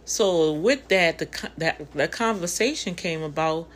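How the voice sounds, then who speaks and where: A woman's voice: lowish voice, a little slow. A woman in her fifties giving a casual motivational talk, conversational and preachy, speaking straight to her listeners. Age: 50s.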